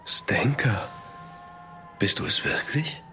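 An ardent young nobleman in a gothic audio drama. His mid-pitched voice is earnest and very expressive, with wide swings in pitch. Music is clearly heard behind him. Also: slow. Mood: tender, concerned. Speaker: actor and narrator in an audio drama. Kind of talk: dramatic first-person narration and dialogue.

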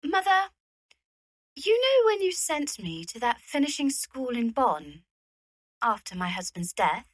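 A woman's voice: sits high, very animated, measured pace, no echo, clean studio sound, heavily acted. A woman playing a genteel, evasive young widowed lady, polite and a little hesitant, in an audio drama. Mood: feigned casual. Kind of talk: radio play acting.

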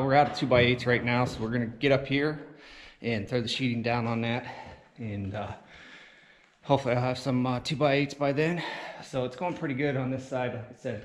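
A man in his thirties talking casually to his viewers while building in a large barn, with relaxed, plainspoken speech and frequent fillers. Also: medium pitch. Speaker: homestead vlogger. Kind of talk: casual chat while working. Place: large metal horse barn with a loft. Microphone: action camera on body and selfie at arm's length. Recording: noisy barn recording.

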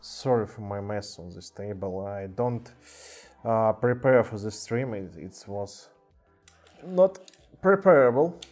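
A man with a Russian accent casually chatting on a live stream in halting English, with many fillers. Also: fairly low pitch, lively intonation, a little mellow, soft background music. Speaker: streamer showing toy car collection. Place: indoor room with cluttered table. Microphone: webcam mic.

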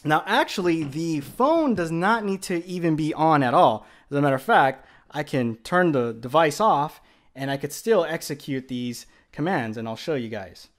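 Male tech presenter, voice a touch high, casual home demo delivery. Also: animated, moderate pace, a bit bright. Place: indoors in a home room.

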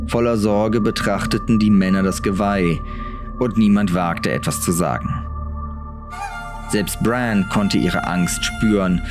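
A man reading a fantasy novel aloud as a dramatic audiobook narrator. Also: low voice.

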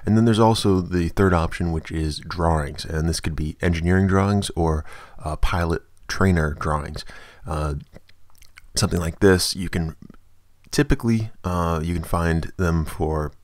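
A man narrating a software tutorial as its host, explaining things in a casual, informal way. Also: low voice, moderate pace, no room sound, clean studio sound.

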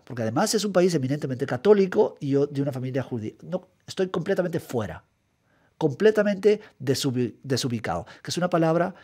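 A man's voice: mid-range voice, warm tone, breathy edge. A middle-aged man from Guatemala, a writer giving a thoughtful, methodical radio interview in a studio, often repeating words as he searches for them. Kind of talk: radio interview, reflective answers. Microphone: studio microphone.

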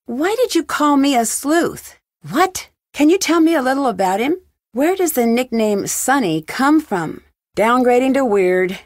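A woman's voice: high-pitched, sing-song, measured pace, dry recording, clean studio sound. An actress voicing a curious, composed young female detective in a video game, asking polite but pointed questions. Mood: curious, questioning.

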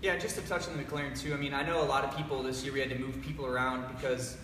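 An upbeat young man hosts a live announcement in a large showroom garage. His voice rings off the hard walls and sits far from the camera, with steady noise underneath. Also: mid-range voice, racing. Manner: upbeat, presenter-like, reads out viewer comments. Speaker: company host presenting a live announcement. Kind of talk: live-streamed company announcement. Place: large echoey garage showroom with cars, indoors. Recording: echoey room from far off, background noise.

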